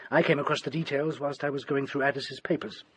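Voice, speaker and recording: An actor playing an agitated, obsessed English doctor in a radio drama, with formal, dramatic delivery. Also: male voice, medium pitch, fairly even intonation, fast, slight background noise, lightly acted. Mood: grave, confiding. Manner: formal, dramatic, urgent pleading and grave storytelling. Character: agitated, desperate male doctor obsessed with an occult book. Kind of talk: British radio drama acting.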